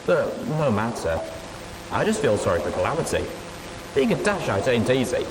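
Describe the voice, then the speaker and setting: A man's voice: mid-range voice, sing-song, moderate pace, voice pushed up, lightly acted. A man playing a radio DJ who makes casual, wry, sympathetic asides over rain-like noise. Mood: wry sympathy. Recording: loud rain-like noise behind voice.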